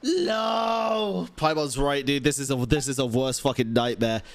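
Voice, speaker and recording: A man's voice: medium pitch, measured pace, slight background noise. A man giving casual, exclamatory and sweary commentary as he reacts to a video game. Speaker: game streamer. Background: game music.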